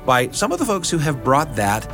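A man's voice: on the high side, wildly swooping pitch, normal speed, a bit bright, faint music behind. A man hosting a show, introducing guests and segments in a casual, slightly hesitant conversational way. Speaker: show host. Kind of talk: casual studio interview and introduction.

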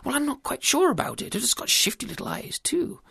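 A woman's voice: high voice, sing-song, fairly quick, creaky, fairly bright tone, slightly rough, dry recording, clean studio sound, voice pushed up, exaggerated voice. A woman doing a timid, stammering, bumbling husband. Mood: dithering. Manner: stammering, dithering, prim politeness. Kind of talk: storybook character voice.

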